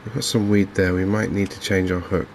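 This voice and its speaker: An Englishman with a low voice gives softly spoken ASMR commentary on a game. His delivery is extremely even, gravelly at the edges, unhurried and a little hesitant. Faint noise sits behind him. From England. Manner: unhurried, hesitant, gently musing. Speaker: gaming video host. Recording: faint background noise from open windows and fans.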